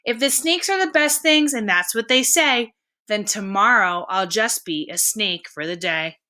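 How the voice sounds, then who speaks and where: Woman reading as an eager, bright-voiced boastful emu. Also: medium pitch, measured pace. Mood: determined. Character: eager, boastful male emu in a children's storybook.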